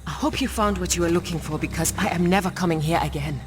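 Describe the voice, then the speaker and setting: A woman's voice: fairly low pitch, fast, natural voice. A woman playing an exasperated, weary companion in a video game cutscene. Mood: fed up. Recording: game music behind the voice.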